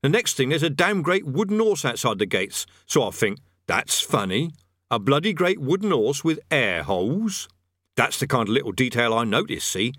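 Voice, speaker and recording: A man voicing a smug, rough-spoken army sergeant who gloats with cheerful menace. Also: mid-range voice, very animated, normal speed, fairly bright tone, dry recording, studio quality, voice pushed up. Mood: gloating. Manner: coarse colloquial speech, gloating, cheerful menace.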